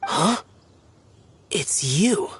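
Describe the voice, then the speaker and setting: A man's voice: a little high, expressive, very slow, a bit bright, slight background noise, heavily acted. A man voicing a stern immortal guardian in a video game, grave and terse with archaic formality. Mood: guarded. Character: solemn, stern immortal male guardian warrior.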